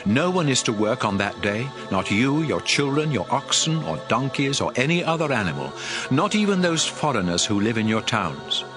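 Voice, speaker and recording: A man's voice: medium pitch, sing-song, normal speed, music in the background, natural voice. An actor playing a solemn, commanding male prophet who addresses his people with emphatic oratory in a dramatized audio Bible.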